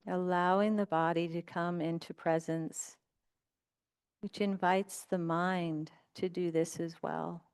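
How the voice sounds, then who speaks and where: Woman guiding meditation, clear smooth voice. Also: on the low side, steady tone. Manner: gentle, unhurried instructions with long pauses. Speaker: meditation teacher. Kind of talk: guided meditation.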